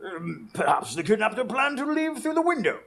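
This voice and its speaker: Earnest gentleman doctor and storyteller, male actor, higher-pitched with a husky rasp, radio drama. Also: very animated, a little fast, heavily acted. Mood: puzzled, amazed. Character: earnest Victorian doctor, dramatic narrator and loyal sidekick.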